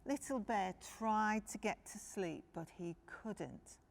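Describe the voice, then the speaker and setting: A woman's voice: medium pitch, animated, takes it slowly. A woman reading a picture book to young children, phrasing things simply and speaking to her listeners directly. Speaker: children's storyteller.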